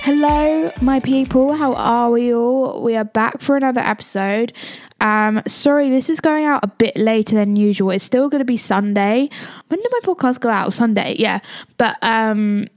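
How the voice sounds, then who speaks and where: Young woman, croaky voice, chatty rambling podcast host, dry recording. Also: fairly high pitch, normal speed, a little mellow, no echo, faint music behind. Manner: chatty, rambling, drifts off topic. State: croaky voice from illness. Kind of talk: casual solo podcast chat.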